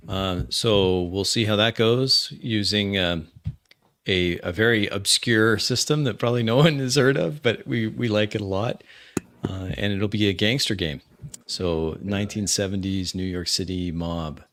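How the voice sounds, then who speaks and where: A man's voice: lowish voice, unhurried, a bit bright, dry recording, clean studio sound. A man who plays and runs tabletop games, talking casually and unscripted on a group stream.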